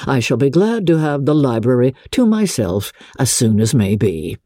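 A reader voicing a calm, teasing middle-aged gentleman father in a deadpan, measured way for a storybook. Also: medium pitch, no echo, studio quality. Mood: dry, wry.

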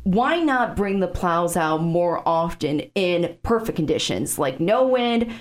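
A woman from the Chicago suburbs with a Chicago accent, hosting a studio radio interview and asking quick, conversational questions. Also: lowish voice, expressive. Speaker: radio host.

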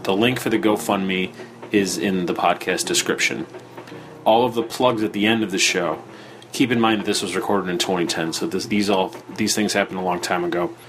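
A deep-voiced American man hosts a podcast with an informal spoken introduction. He is subdued and plain-spoken, and plucked guitar plays clearly behind him. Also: steady tone, normal speed. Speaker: podcast host.

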